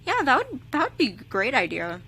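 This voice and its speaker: A woman's voice: a little high, brisk, vocal fry, slightly rough. A young woman chatting casually as a vlogger, rambling with lots of "like" and "um". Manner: chatty, full of "like" and "um" fillers. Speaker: vlogger reacting to a video. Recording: steady background hum.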